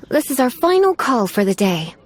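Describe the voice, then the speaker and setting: A coy, languid adult witch in a video game. She teases in a genteel, flirtatious way, her fairly high voice full of lilting swoops, with light music behind. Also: sing-song, unhurried. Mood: businesslike, coy. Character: flirtatious, languid adult witch librarian, teasing, quietly menacing. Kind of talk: video game character acting.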